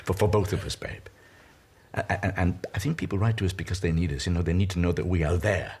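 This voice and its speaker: Deep-voiced, halting old comedian. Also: male voice, darkish tone, husky. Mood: wistful. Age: older. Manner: halting, reflective, tender. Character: elderly comedian grieving beside his dying partner. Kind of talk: intimate television drama monologue.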